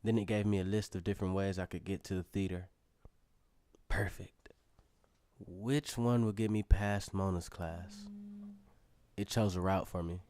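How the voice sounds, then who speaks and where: A man's voice: deep voice, steady tone, measured pace, pauses often, mellow, no echo. An actor playing an eager young student who narrates his own story earnestly in a radio drama. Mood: curious, determined. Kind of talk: scripted first-person radio drama narration.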